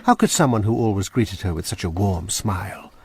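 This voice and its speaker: A man narrating a radio drama in a measured storytelling manner. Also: on the low side, lively intonation, a little mellow, slight background noise. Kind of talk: scripted narration.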